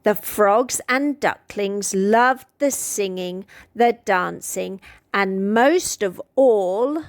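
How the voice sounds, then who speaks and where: A woman with a British accent reading a children's picture book aloud in an expressive, deliberate storytelling manner. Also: medium pitch, dry recording, clean studio sound.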